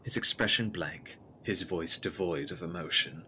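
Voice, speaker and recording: Very deep, forceful male gothic narrator. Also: moderate pace, slight background noise. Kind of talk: scripted dramatic narration of gothic horror.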